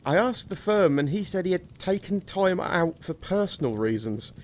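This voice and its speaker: An actor playing an earnest, protective young English gentleman, formal and sombre, in an audio drama. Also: sits high, very animated, moderate pace, a little hiss or hum. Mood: concerned. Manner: formal, old-fashioned, sombre. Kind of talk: theatrical audio drama acting with narration.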